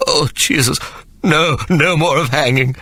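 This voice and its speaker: A man voicing a pot-bellied gentleman in an audiobook, gasping and pleading. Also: fairly high pitch, big pitch swings, takes it slowly, no echo, pitched above the performer's own voice, heavily acted. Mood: begging. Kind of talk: audiobook character voice.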